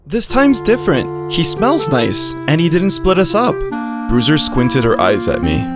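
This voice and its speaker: An actor voicing a sweet, naive, excitable male pit bull who talks and narrates in a visual novel. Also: medium pitch, a little slow, loud music behind the voice. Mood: eager, cheerful. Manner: eager, chirpy, childlike wonder. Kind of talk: character dialogue and first-person narration in a visual novel.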